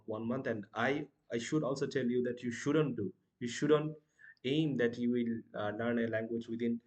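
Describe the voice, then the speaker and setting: A man's voice: medium pitch, dull audio. A young man from Bangladesh with a Bangladeshi accent, a native Bangla speaker, giving casual advice in a vlog, full of hesitations and "you know" fillers as he corrects himself mid-sentence. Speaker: language-learning vlogger.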